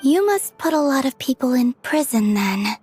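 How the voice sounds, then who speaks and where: A woman's voice: high-pitched, expressive, moderate pace, darkish tone, faint music behind. A woman voicing a serene young female game character who speaks in a candid, naively direct way. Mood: innocently blunt. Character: serene, innocently blunt young female former villain. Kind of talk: video game voice acting.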